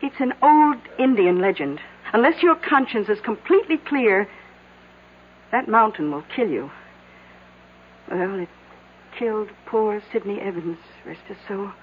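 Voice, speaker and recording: An actress playing a dry, knowing small-town widow in an old-time radio drama, sardonic, deliberate and quietly ominous. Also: female voice, medium pitch, lively intonation, phone-quality sound, natural voice. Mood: grave, ominous. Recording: noisy, band-limited vintage radio drama recording.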